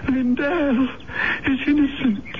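An actor playing a dying, guilt-ridden male boarder in a radio mystery drama, pleading in labored, halting phrases. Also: extremely high pitch, steady tone, highs cut off. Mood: desperate confession. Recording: noisy, band-limited old radio broadcast.

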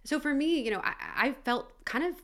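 A woman's voice: high-pitched, a little fast, a bit bright. An American woman in a casual, reflective interview conversation, candid and discursive, peppering her talk with conversational fillers. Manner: candid, discursive, frequent "you know" and "like". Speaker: interviewer and business owner.

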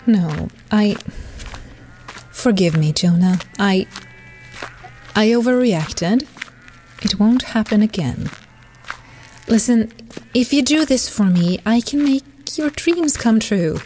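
A woman playing a smug, bossy disembodied divine voice in a comedic audio drama, speaking in a measured, commanding way. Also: mid-range voice, lively intonation, a little mellow, a little hiss or hum. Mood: coaxing.

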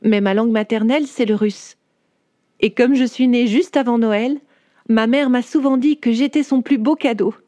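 A woman voicing a 19th-century woman writer who tells her own life story to children in a chatty, warm way, speaking directly to the listener. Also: on the high side, moderate pace, no echo, close to an everyday voice. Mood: lively. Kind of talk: first-person storytelling for children.

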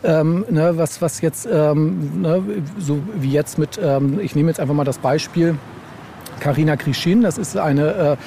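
Higher-voiced man in a halting interview conversation. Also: creaky, warm tone, slight background noise. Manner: halting, ends phrases with tag "ne?". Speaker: guest author in a podcast interview.

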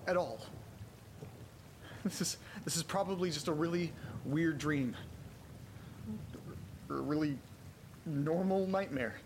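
An actor playing a frightened, bewildered man in a horror audio drama, muttering haltingly to himself, repeating words and swearing. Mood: distraught. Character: frightened, bewildered man trapped near his haunted house. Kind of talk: first-person horror audio drama monologue. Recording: clear background noise, vehicle rumble.

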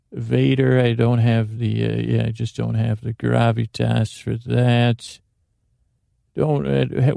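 Gravelly, mellow man rambling softly. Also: medium pitch, no room sound, clean studio sound. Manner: meandering and self-deprecating, with frequent restarts. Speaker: podcast host. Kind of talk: rambling sleep podcast monologue.

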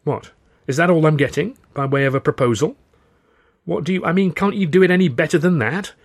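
A man's voice: mid-range voice, animated, normal speed. A man voicing a loving but weary and firm young woman in audiobook dialogue, speaking earnestly and pointedly. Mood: teasing, demanding.

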